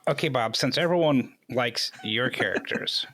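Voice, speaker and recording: A man's voice: medium pitch, moderate pace, sharp tone. A man hosting a casual panel interview in a playful way. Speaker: interview host.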